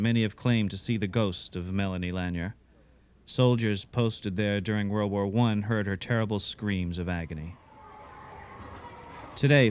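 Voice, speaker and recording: A man's voice: lowish voice, darkish tone. A man narrating a ghost story dramatically for a radio drama.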